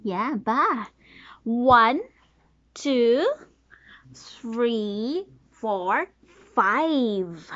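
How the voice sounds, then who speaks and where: A woman's voice: fairly high pitch, expressive, drawn-out words, pauses often, a bit bright. A woman in her thirties reading a picture book aloud to her small child in a sing-song way, prompting with questions and counting. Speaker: mother reading to her toddler. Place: small bedroom, sitting on a bed. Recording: dull lo-fi home recording.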